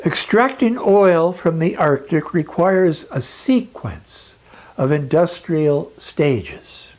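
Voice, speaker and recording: A man reads a scripted educational talk like a lecturer. His delivery is very slow and deliberate, with dramatic pauses. His pitch swings widely as he stresses key words emphatically. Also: expressive, darkish tone.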